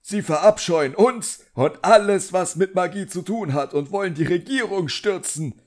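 Casting: pompous, irate middle-aged wizard bureaucrat for an audiobook, raised pitch, barking contempt, performed by a male narrator. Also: normal speed, close to an everyday voice. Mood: irate, dismissive.

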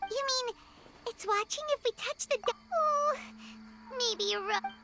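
A woman voicing a tiny, excitable, childlike fairy companion in a squeaky video game voice. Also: measured pace, noisy background. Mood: excited. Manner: exclamatory, refers to herself in third person. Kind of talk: English anime-style video game voice acting. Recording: loud game music behind.

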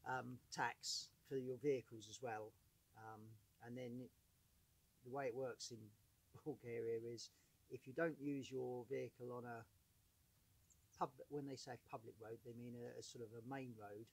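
Husky man, 50s, hoarse and coughing, loose rambling delivery, filmed outdoors on a far camera. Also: mid-range voice, steady tone, a little slow. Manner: rambling, coughs often, many fillers. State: hoarse from bronchitis. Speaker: vlogger. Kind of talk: casual chat to camera. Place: outdoors in a sunny yard by a woodpile. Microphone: far camera on a tripod.

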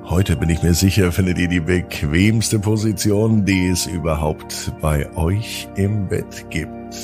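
A man hosting a children's bedtime podcast, narrating in a gentle, drawn-out storytelling manner. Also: low-pitched, over music.

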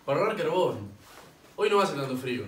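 Un hombre como soldado argentino, sala con eco. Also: male voice, fairly high pitch, very animated, quick pace, a bit bright. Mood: guarded, matter-of-fact. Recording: echoey distant room recording, clear noise.

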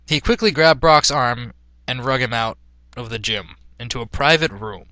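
A man's voice: medium pitch, sharp tone, dry recording. A man narrating a story as a theatrical dramatic reading. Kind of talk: dramatic storybook-style reading of fan fiction.

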